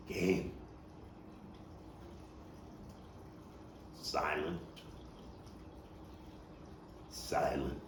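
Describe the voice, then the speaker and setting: Guy acting out haunted brooklyn man in a stage monologue, gravelly voice, bitter and halting, roomy far off mic. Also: extremely low pitch, pitched below the performer's own voice, heavily acted. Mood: anguished pleading. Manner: halting, brooding, with sudden anguished outbursts. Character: haunted Brooklyn man confessing a boyhood killing, bitter, anguished. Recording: roomy, distant mic, faint noise.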